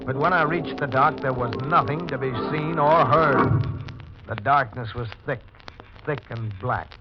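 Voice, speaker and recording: A man's voice: medium pitch, close to an everyday voice. Man as stern 1940s radio homicide detective. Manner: deliberate, precise, dramatic. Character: stern, methodical male police homicide lieutenant giving testimony. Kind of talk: 1940s radio drama acting. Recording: muffled 1940s radio recording with music.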